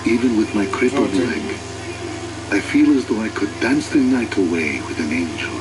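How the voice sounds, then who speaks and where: A man playing a weary, reflective injured soldier, narrating a war story in a measured, dramatic way. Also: fairly low pitch, animated, music in the background, close to an everyday voice, poor audio.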